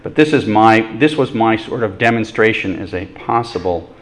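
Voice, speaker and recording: A man lecturing a university class in a relaxed, conversational way, with self-deprecating jokes. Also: fairly low pitch, normal speed. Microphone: microphone adjusted during talk.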